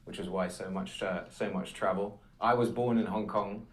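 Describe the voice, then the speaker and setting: A man's voice: deep voice, steady tone, normal speed. A man in his thirties with an English accent, fluently answering interview questions as a guest. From England. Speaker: guest, content creator.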